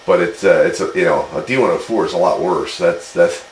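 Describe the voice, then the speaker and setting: Very deep-voiced man, roomy radio sound. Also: brisk. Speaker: amateur radio hobbyist. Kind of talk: casual chat over two-way radio. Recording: roomy, noisy CB radio speaker pickup.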